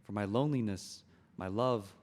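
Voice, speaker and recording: A man reading the part of a lovesick monkey king at a play's table read, slowly chanting and singing his verses. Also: fairly low pitch, a little mellow, natural voice. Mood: mournful singing. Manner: slow, chanting sung refrains. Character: lovesick monkey king, male adult, brooding. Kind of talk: table read of a stage play, with sung verses.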